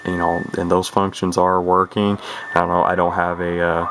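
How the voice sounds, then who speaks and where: Male hobbyist presenter, deep voice, unscripted and halting delivery with repeated words, recorded with clear hissy radio noise behind him. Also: completely flat delivery. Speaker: radio collector presenting his own equipment. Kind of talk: rambling casual product showcase. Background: radio speaker playing static.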